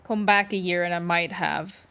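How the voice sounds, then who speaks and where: A woman's voice: fairly low pitch, fairly even intonation, normal speed, sharp tone, smooth, faint music behind. A woman game streamer chatting casually with viewers and reacting aloud as she plays. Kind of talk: casual live-stream commentary.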